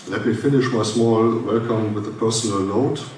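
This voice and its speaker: German man speaking haltingly in an echoey hall. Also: lowish voice, steady tone, darkish tone, recorded from a distance. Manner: halting, many "uh" fillers and restarts. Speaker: foundation director giving a welcome speech. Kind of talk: formal welcome speech at a conference. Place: conference hall. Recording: echoey hall, speaker well off the mic, faint hiss.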